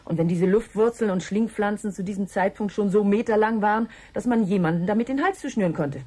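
An actress playing a sceptical, sharp-tongued, logical woman in a German radio play, brusque and sardonic in manner. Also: mid-range voice, a little fast, lightly acted. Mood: dismissive.

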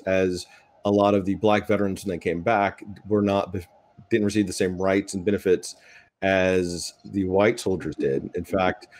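Deep-voiced middle-aged man. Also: a little mellow. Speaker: panelist, military veteran and writer. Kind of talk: earnest panel discussion. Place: indoor room at home. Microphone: video call.

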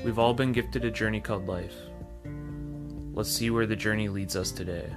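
A man's voice: lowish voice, steady tone, moderate pace. A man who produces a podcast, reading its scripted introduction as announcer. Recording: guitar music behind the voice. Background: plucked guitar music.

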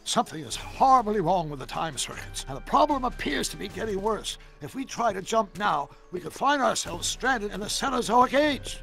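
An actor playing an eccentric, excitable elderly scientist in a video game, with a gravelly voice and a dramatic, wordy way of exclaiming. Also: male voice, high-pitched, normal speed, few pauses, soft background music, heavily acted. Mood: alarmed. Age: older.

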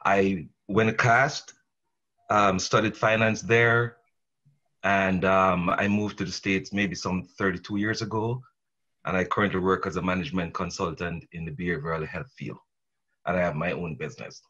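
A man's voice: sits low, fairly even intonation, normal speed, pushed and bright. A middle-aged man introducing himself as a panel guest. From Jamaica. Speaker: panel guest, management consultant. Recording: dull online call audio.

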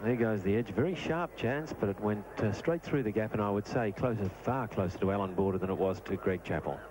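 A man's voice: on the low side, fairly quick. A man explaining cricket replays in a conversational, opinionated way as a summariser. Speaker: cricket commentator, expert summariser. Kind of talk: replay analysis commentary. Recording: noisy old broadcast tape.

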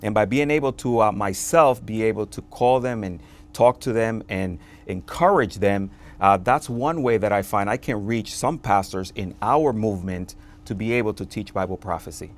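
A pastor from the Dominican Republic talks with quiet conviction, his voice sitting low and steady. He speaks earnestly, in a conversational testimony style, with frequent "uh" pauses. The lapel mic in a television studio keeps his voice close and clean. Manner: earnest, fervent, with frequent filler "uh". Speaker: pastor, interview guest.

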